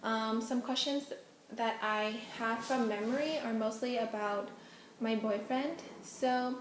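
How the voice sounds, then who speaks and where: Young woman vlogger, first language Hokkien, slightly high voice, casual and chatty in a roomy echo. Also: slow, slight background noise. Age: young adult. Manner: chatty, many ums, giggly asides. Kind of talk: casual Q&A vlog. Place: indoors at home, face out of frame.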